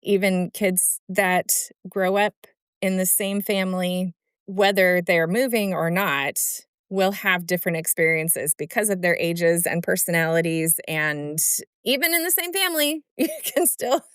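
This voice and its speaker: Smooth-voiced woman podcast host. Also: on the low side, a little slow, fairly bright tone, dry recording, studio quality. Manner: affirming, reflective interviewer. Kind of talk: conversational interview.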